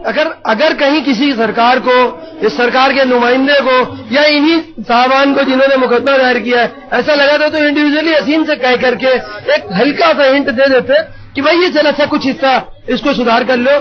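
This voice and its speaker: A man's voice: extremely high pitch, moderate pace. A middle-aged or older man speaking as a debate guest, pleading with rhetorical questions and repeated phrases. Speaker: debate guest, father of the accused. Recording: dull lo-fi broadcast audio.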